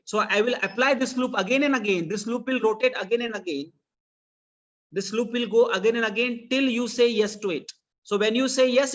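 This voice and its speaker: Indian guy teaching coding online, pretty high voice, repeats himself to make the point, dull lo-fi call sound. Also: fairly bright tone. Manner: didactic, repeats phrases for emphasis. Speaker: programming teacher. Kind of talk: live online coding lesson. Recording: dull, lo-fi online meeting audio.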